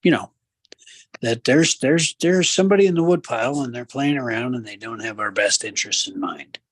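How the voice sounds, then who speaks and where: A man with a medium-pitched voice gives commentary as a radio co-host. He is measured and conversational, slipping into preacherly asides to his listeners. The recording is close and dry. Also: no echo. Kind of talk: casual talk show commentary.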